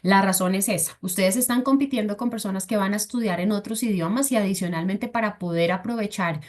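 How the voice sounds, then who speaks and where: A woman's voice: mid-range voice, a little fast. A woman from Colombia, presenting a webinar for a scholarship foundation and explaining step by step with frequent fillers. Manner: explains patiently, with filler "entonces" and "eh".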